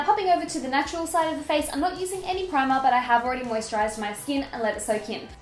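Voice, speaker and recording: A woman's voice: sits high, roomy sound. A young woman with a stuffy, nasal head-cold voice, chatting upbeat and self-mocking through a makeup tutorial. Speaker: beauty vlogger. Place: indoor room with fairy lights.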